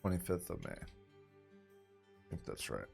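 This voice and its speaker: A man's voice: deep voice, very animated, normal speed, vocal fry, darkish tone, soft background music. A man running a tabletop role-playing stream as game master, thinking aloud in a rambling, conversational way with frequent restarts. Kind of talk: running a tabletop campaign stream, chatting with viewers.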